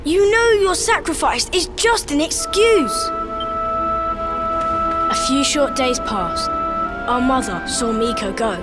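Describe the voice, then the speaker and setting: A woman's voice: high-pitched, expressive, slow pace, long pauses. A woman voicing an earnest young boy in a video game, speaking formally in solemn, poetic phrases. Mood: insistent. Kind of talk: video game cutscene acting. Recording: loud music behind the voice. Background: loud game music.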